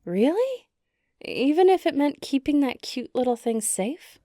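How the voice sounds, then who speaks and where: Kindly giantess , played by a woman, coaxing and teasing, lively intonation over a mellow tone, for ASMR roleplay. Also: high voice, a little slow, no echo, clean studio sound. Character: kind, nurturing giantess, gently teasing and hopeful.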